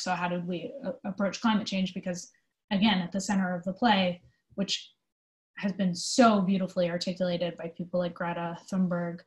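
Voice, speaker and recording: A woman answering interview questions in an informal, conversational way, explaining her work thoughtfully with pauses. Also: on the low side. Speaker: theatre company manager being interviewed.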